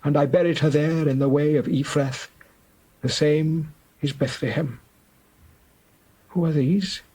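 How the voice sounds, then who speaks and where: An actor playing an aged, dying Hebrew patriarch in a dramatized scripture reading, husky, grave and deliberate, solemn and tender. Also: male voice, fairly high pitch, steady tone, warm tone. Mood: solemn, reminiscing.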